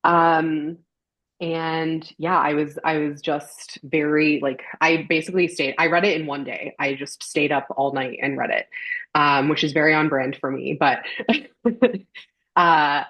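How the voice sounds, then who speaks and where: A woman with a fairly low voice speaks as a guest on a podcast panel. She talks casually. Her audio is a little dull and lo-fi. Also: fairly even intonation, measured pace. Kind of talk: casual discussion. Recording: slightly dull, lo-fi microphone sound.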